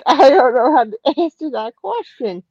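Young woman, mid twenties, a bit high, shy and amused, dull dry lo-fi mic. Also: animated, moderate pace, a little mellow, no room sound. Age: 20s. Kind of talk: casual chat.

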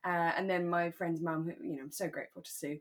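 Chatty British woman in relaxed conversation. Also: fairly low pitch, fast talker. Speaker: podcast co-host. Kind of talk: casual chat about a radio drama.

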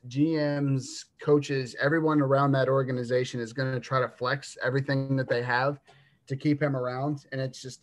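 A man in his twenties co-hosting a sports podcast, giving takes with dry humor and thinking out loud. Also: mid-range voice. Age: 20s. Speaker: podcast co-host. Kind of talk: casual sports podcast chat.

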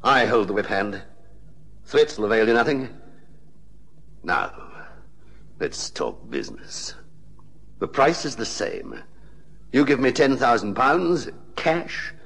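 An actor playing a smug, sneering blackmailer in a 1960s radio drama, speaking formally and mockingly with a gravelly, husky voice. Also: male voice, lowish voice, sing-song, a little slow, long pauses, fairly bright tone, heavily acted. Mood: gloating, threatening. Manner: mocking, pompous, formal diction. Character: smug, sneering male blackmailer, coolly menacing.

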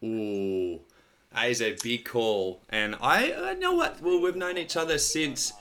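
A man's voice: mid-range voice, big pitch swings, a little slow, sharp tone. A man in his thirties hosting a podcast interview over a video call, enthusiastic and rambling, with lots of restarts. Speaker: podcast host. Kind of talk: friendly casual interview chat.

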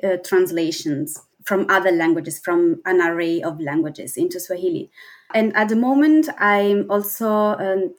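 A woman researcher on an academic panel, explaining her work in a halting way with frequent pauses and restarts. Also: medium pitch. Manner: hesitant, many fillers and restarts while explaining.

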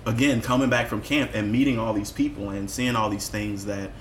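A man speaking as a musician guest in a candid podcast conversation, telling personal stories in a reflective, expressive way with casual swearing. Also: lowish voice, fairly quick. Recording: roomy echo with faint background noise.

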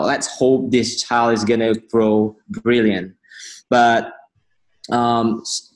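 A man in his twenties from Indonesia, an interview guest speaking earnest, halting English. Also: medium pitch, low-quality recording. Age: 20s. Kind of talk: casual interview.

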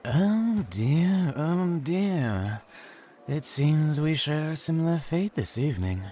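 A man's voice: on the high side, very animated, slow, mellow. A man acting in an audio drama as a mysterious, insistent newcomer, with formal, teasing storyteller phrasing. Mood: smooth, insistent. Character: mysterious, courteous, insistent man new in town.

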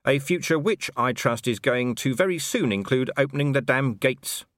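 Man acting a gruff, forceful, sardonic commander. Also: mid-range voice, expressive, moderate pace, dry recording, studio quality, voice pushed up, lightly acted. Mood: dryly commanding. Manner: forceful, sarcastic, clipped orders. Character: gruff, commanding, sardonic city watch commander turned ambassador.

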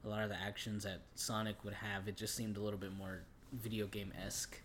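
Young male co-host, lowish voice, informal slangy film chat, faint background noise. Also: flat delivery, a little fast, a bit bright. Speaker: podcast co-host. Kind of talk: casual movie chat.

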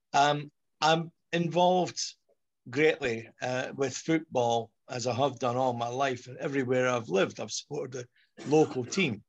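A middle-aged man with a Scottish accent, speaking as a guest on a podcast discussion in a measured, articulate way. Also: mid-range voice. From Scotland.

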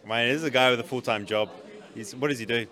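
A man's voice: mid-range voice, fast talker, sharp tone. A man on a live podcast, arguing his point in a laid-back, matter-of-fact way. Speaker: podcast co-host. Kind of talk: casual debate.

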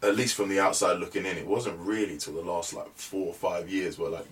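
Young man, lowish voice, laid-back guest, a bit off-mic. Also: fairly quick, far from the mic. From England. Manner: relaxed, chatty, many fillers like "you know what I mean". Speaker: musician guest. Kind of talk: casual podcast interview.

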